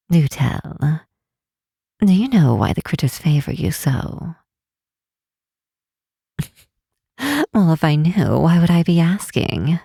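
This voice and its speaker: Woman acting a low, breathy, teasing half-elf mage. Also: no echo, studio quality, full character voice. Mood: teasing, curious. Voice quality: soft, breathy, husky. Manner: unhurried, teasing, formal old-fashioned phrasing. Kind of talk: ASMR fantasy roleplay.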